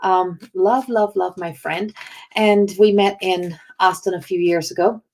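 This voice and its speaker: A woman's voice: medium pitch, steady tone, measured pace, a little mellow, slight background noise. Chatty woman with a strained voice. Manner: chatty and rambling, greets viewers by name. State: strained, failing voice. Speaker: oracle card reader and spiritual healer. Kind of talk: casual livestream chat.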